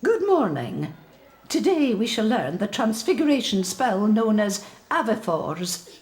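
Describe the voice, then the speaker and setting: Stern British witch professor, animated. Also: medium pitch, unhurried. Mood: instructive, formal. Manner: formal, precise, stern. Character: strict, brisk female witch professor, authoritative.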